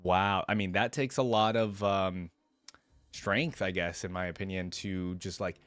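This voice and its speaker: Low-voiced man casually reacting out loud. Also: fairly even intonation, unhurried, dry recording. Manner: rambling, thinks aloud with frequent "like". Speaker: reaction video host.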